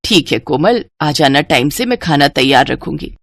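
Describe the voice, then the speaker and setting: A woman voicing a gentle, older widowed mother in a cartoon, calm and soothing. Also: deep voice, moderate pace, gravelly, no echo, pitched below the performer's own voice, exaggerated voice. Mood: kind. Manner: calm, consoling.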